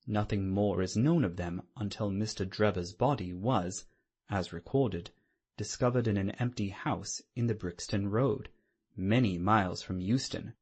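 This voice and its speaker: Husky low-voiced male audiobook narrator. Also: unhurried, darkish tone. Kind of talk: scripted dramatic narration of a classic novel.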